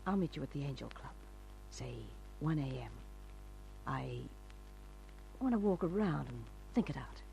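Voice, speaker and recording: A gravelly, calculating femme fatale. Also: female voice, low voice, lively intonation, a little slow, long pauses, dark tone, soft background music, full character voice. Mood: wary, bargaining. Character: calculating, seductive femme fatale, cool and bargaining. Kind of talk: old-time radio crime drama acting. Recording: noisy old radio recording with hum.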